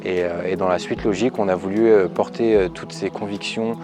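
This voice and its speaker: A man's voice: low-pitched, fairly even intonation, a little fast. A man in his twenties giving an earnest, hesitant campaign statement with frequent fillers. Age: 20s. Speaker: local election candidate. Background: music.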